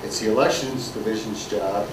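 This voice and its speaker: A man who ran for office, speaking plainly and haltingly at a symposium. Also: fairly low pitch, steady tone. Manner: plain-spoken, halting, with many fillers. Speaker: former candidate speaking at a symposium. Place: conference room. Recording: distant mic, echoey room, loud noise.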